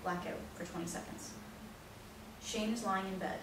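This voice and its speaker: A woman's voice: medium pitch, fairly even intonation, moderate pace. A woman narrator reading out the stage directions of a play as scripted narration. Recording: very echoey, distant, noisy room recording.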